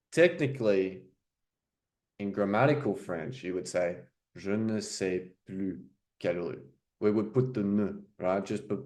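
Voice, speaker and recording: Male language coach, casual explainer, slightly low voice. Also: a little mellow. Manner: explanatory, repeats "right?" and "okay?". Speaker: French coach. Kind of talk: casual teaching commentary.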